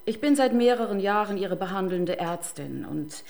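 Discreet private doctor for radio crime drama: mid-pitched, formal, firm, guarded. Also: female voice, expressive, normal speed, creaky, a little mellow. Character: discreet, firm female private doctor shielding her patient.

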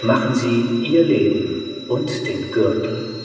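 A distant, heavily echoing state announcer delivers solemn slogans in a radio drama. He speaks slowly and with measured weight, over music. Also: mid-range voice. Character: solemn male propaganda announcer of a future state. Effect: public-address reverb.

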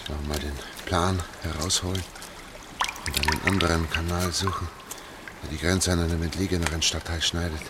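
An actor in a German radio play playing a sober, methodical older man, speaking in a hushed, deliberate way. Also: deep voice, fairly even intonation, lightly acted. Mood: tense, controlled. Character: sober, methodical older man guiding a girl through sewers. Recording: noisy track with trickling water. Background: running, dripping water.

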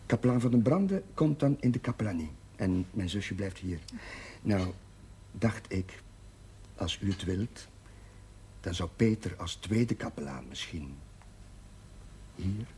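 An actor playing a dutiful, halting chaplain nearing forty in a television drama. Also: male voice, low voice, animated, darkish tone. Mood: hesitant, resigned. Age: late 30s. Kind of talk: naturalistic television drama acting. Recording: 1970s television audio with background noise.

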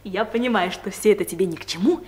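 A woman's voice: medium pitch, fast, close to an everyday voice. An actress playing a gossipy, mocking female office secretary with a knowing, teasing manner, in a Soviet radio comedy. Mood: mocking read-aloud.

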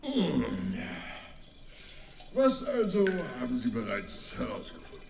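An actor playing a lofty, impatient government minister in a radio drama, pompous and brusque. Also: male voice, mid-range voice, huge pitch swings, unhurried, creaky, big-room sound, a little hiss or hum, full character voice. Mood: lofty impatience.

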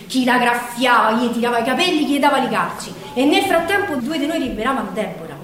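In a very reverberant space, some way from the mic, an actress plays a feisty Roman woman partisan looking back on her girlhood. Her voice is fairly high and her Roman accent is thick with everyday speech. She tells it as an emphatic theatrical monologue, over a faint layer of noise. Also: expressive, quick pace, a crowd in the background, close to an everyday voice. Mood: defiant, animated. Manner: colloquial, emphatic, dramatic storyteller. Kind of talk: theatrical first-person storytelling monologue. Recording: very echoey hall, distant mic, faint noise.

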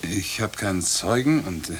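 An actor in a 1950s German radio play, playing a plain-spoken, stubborn and troubled villager with a halting, earnest delivery. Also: male voice, low voice, expressive. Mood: earnest, reluctant. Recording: hissy 1950s radio recording.

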